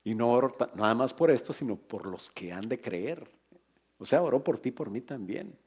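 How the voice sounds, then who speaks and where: Husky-voiced man preaching a sermon. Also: medium pitch, normal speed. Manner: lively preaching with humor and asides to the congregation. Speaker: pastor. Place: church.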